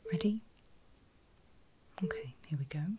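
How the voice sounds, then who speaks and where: A woman whispering in a breathy, soothing and reassuring way, as a caregiver in an ASMR medical roleplay. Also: deep voice, lively intonation, background noise. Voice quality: breathy, mostly unvoiced whisper. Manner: soothing, reassuring, slow and deliberate. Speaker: ASMR performer playing a surgical nurse.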